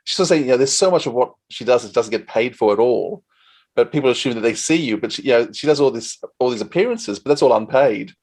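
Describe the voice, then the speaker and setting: A man's voice: medium pitch, expressive, fast talker, a little mellow. A man hosting a conversational interview, chatty and rambling, with plenty of enthusiasm. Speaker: podcast interviewer.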